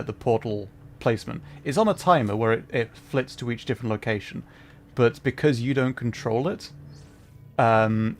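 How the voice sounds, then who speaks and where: A man livestreaming a game, chatting informally to viewers and explaining as he plays. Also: lowish voice. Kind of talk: casual livestream commentary with chat.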